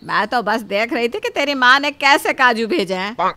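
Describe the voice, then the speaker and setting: A woman voicing a defensive, haughty older mother-in-law in a cartoon family drama, retorting loudly. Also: fairly high pitch, animated, normal speed.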